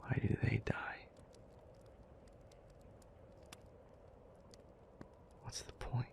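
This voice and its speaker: A man playing an ancient, somber, thoughtful demon in an intimate audio roleplay, telling old myths in a breathy, hushed, halting voice with long pauses. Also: lightly acted. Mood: questioning, weary. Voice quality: breathy, whispery.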